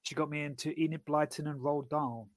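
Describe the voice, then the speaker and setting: A man co-hosting a casual podcast, speaking in a measured, thoughtful way with some restarts. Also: fairly high pitch, fairly even intonation, dry recording. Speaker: podcast co-host. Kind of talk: casual chat.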